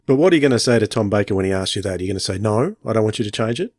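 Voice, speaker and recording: Low-voiced male podcast co-host, dry sound. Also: very fast, no echo. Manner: relaxed, wrapping up segments. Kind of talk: casual podcast chat.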